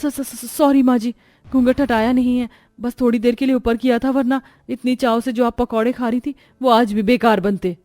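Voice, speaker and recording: A woman's voice: on the high side, steady tone, normal speed, a little mellow, no echo, clean studio sound, lightly acted. Woman acting a meek young daughter-in-law. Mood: apologetic. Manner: deferential, pleading. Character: meek, obedient young veiled daughter-in-law, timid and pleading.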